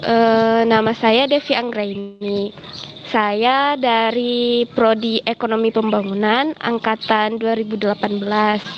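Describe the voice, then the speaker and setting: A woman's voice: fairly high pitch, little pitch movement, measured pace, muffled recording, faint music behind. A woman, answering as a student guest in a chatty, explanatory way. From Jambi, Indonesia. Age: early 20s. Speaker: student guest sharing exchange experience. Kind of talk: casual informative interview answers.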